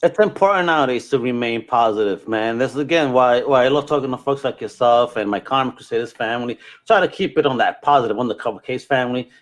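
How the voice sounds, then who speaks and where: A man hosting a podcast, chatting casually in an expressive, informal, slangy way. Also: medium pitch, quick pace, hardly any pauses. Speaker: podcast host. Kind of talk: casual chat.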